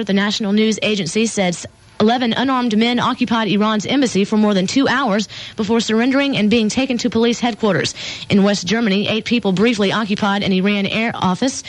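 A woman anchoring a scripted radio newscast from a studio, reading news and weather as an announcer. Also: medium pitch.

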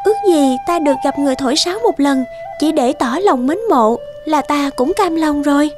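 A woman voicing a gentle, lovestruck young noblewoman in a fairy-tale cartoon. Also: high-pitched, brisk, soft background music, close to an everyday voice. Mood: dreamy, longing.

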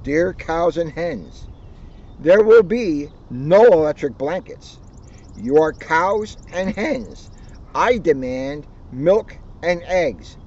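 A man's voice: fairly high pitch, animated, slow, a little mellow. An older male police officer reading a children's story aloud outdoors, expressively and dramatically, with joking asides. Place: outdoors on an open grassy lawn. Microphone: camera a short distance away. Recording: muffled outdoor recording with background noise.

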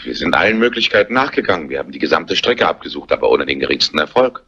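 An actor playing an awkward, evasive police inspector in a crime drama, halting and officially polite. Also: male voice, a bass voice, big pitch swings, bright, forceful tone, husky, heavily acted. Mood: defensive, formal. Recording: muffled old soundtrack.

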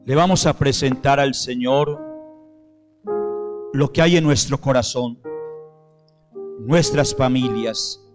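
Solemn priest over soft piano. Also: male voice, medium pitch. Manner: slow, measured, solemn phrasing. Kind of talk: liturgical prayer and renewal of baptismal promises. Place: church sanctuary, at the altar. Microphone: handheld microphone. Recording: piano music under the voice. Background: soft piano music.

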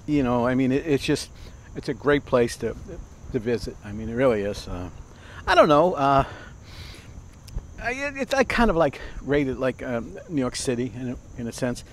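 A man's voice: medium pitch, lively intonation, moderate pace, a little hiss or hum. An older man hosting a casual outdoor cooking demonstration, chatty and rambling with many fillers and restarts. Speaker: cooking show host. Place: outdoor backyard by a fence. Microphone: lapel mic.

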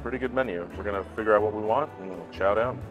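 Chatty lower-voiced man with twang. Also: fast, narrow, tinny audio, over music. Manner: chatty, addresses viewers as y'all. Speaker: food vlogger co-host. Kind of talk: casual restaurant review. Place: busy indoor restaurant patio.

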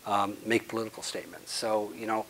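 A low-voiced man in his sixties answers audience questions at a lecture, leaning hard on key words and then letting each phrase trail off softly. He speaks into a clip-on lapel mic in a conference room. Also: fairly even intonation, fairly quick, poor audio. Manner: emphatic, trailing off quietly at phrase ends. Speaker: guest speaker.

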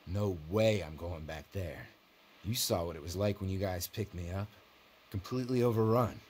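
An actor playing an easygoing, conciliatory ex-military survivor in a zombie video game. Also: male voice, low-pitched, moderate pace, darkish tone, slight background noise, lightly acted. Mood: reasonable. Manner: conciliatory, reasonable. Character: easygoing, weakened male ex-military survivor, reasonable peacemaker.

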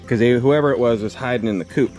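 A man's voice: mid-range voice, fast talker, darkish tone, soft background music, low-quality recording. A man in his thirties casually vlogging outdoors by a chicken coop, explaining his plans to the camera and his son. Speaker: homesteading vlogger. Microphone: handheld camera at arm's length.

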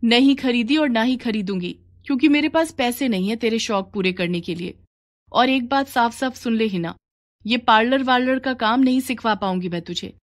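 Woman voicing a firm, caring mother, high-pitched. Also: normal speed, natural voice. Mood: stern. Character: stern but caring, hard-pressed poor mother.

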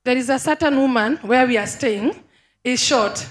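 A woman's voice: high voice, moderate pace, echoey room. A young woman from Kenya, a congregant who talks emotionally and eagerly confirms what the prophet says. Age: young adult. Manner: emotional, excitable, laughing confirmations.

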